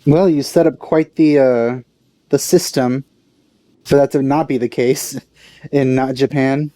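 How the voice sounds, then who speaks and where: A woman's voice: a bass voice, fairly even intonation, measured pace, warm tone, dry recording. A woman giving casual let's play commentary with wry, offhand asides.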